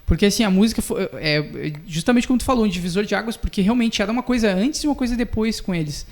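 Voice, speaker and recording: Podcast co-host: young man, gravelly voice, laid-back slangy chat. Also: high-pitched, quick pace. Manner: relaxed, slangy, uses tu and tá ligado. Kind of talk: casual chat.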